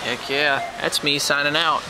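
A man's voice: on the high side, fairly even intonation, normal speed. A young American man chatting casually and jokily to a handheld camera while out and about, often exclaiming. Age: young adult. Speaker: vlogger. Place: stores and parking lots around town. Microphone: handheld camera at arm's length. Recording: handheld camera, loud ambient noise.

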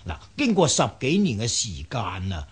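A folksy, lively know-it-all river man. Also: mid-range voice, moderate pace, breathy edge, exaggerated voice. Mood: confident explaining. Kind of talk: Cantonese radio drama acting.

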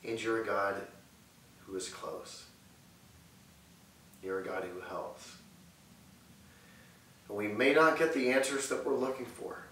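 A man in his thirties preaching and praying earnestly from a sofa in a living room, measured and repetitive in his phrasing. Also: on the low side, fairly bright tone, far from the mic. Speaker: pastor. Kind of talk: sermon and prayer. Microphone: far camera mic. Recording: echoey room audio with faint hiss.

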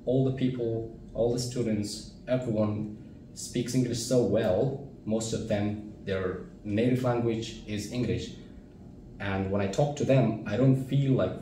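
A man's voice: on the low side, mellow. A young man from Afghanistan, an ESL student, answering interview questions earnestly and openly, sometimes searching for words, seated in a classroom. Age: young adult. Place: classroom with chalkboard, seated at a desk. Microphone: camera at a distance. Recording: roomy, distant, faint hiss.

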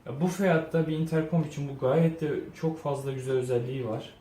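A man's voice: fairly high pitch, fairly even intonation, fairly quick, warm tone. A man giving a casual unboxing review, thinking aloud in an informal way. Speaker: product reviewer. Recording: roomy echo, faint background noise.

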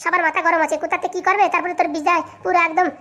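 A woman's voice: very high voice, flat delivery, racing, pushed and bright. A woman playing a cocky, scheming young woman in a comic cartoon, brash and boastful. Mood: bossy, secretive.

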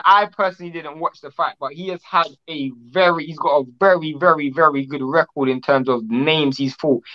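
A man's voice: a little high, muffled recording. A man co-hosting a boxing interview over a video call, chatty and prone to restarts. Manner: chatty, talks in restarts and long lists. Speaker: co-host.

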